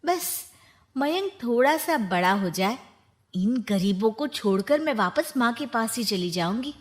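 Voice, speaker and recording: A woman's voice: on the high side, animated, a little slow. A woman voicing a discontented young married daughter in a plaintive, dramatic way for a cartoon story. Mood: scheming, smitten.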